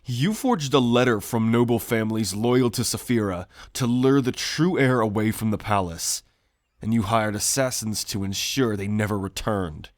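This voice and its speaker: A man's voice: fairly low pitch, moderate pace, no echo, studio quality, close to an everyday voice. A man playing a principled, loyal young prince in a fantasy audio drama, speaking in an earnest, courteous, formal way. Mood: righteous accusation. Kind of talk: fantasy audio roleplay acting.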